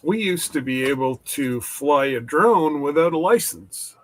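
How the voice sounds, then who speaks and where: A man rambling slowly in his workshop, stopping and restarting his sentences as he goes. His nose is stuffed up, which muffles his voice. A faint hiss of noise runs under the recording. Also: a little high, lively intonation. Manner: slow, halting, with many restarts. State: congested from hay fever. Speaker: channel host. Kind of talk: casual rambling chat.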